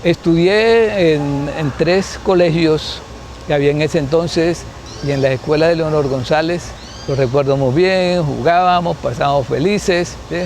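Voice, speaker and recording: A man's voice: on the high side, a little slow, a little mellow, slight background noise. A man in his seventies from Colombia, a guest sociologist in an interview, answering unhurriedly, thinking aloud with restarts and frequent tag questions. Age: 70s.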